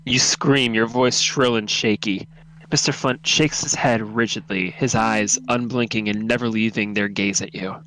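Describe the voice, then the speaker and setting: A man's voice: fairly low pitch, fairly even intonation, moderate pace, fairly bright tone, low-quality recording. A man reading a horror story aloud as narrator, dramatic, intense and suspenseful.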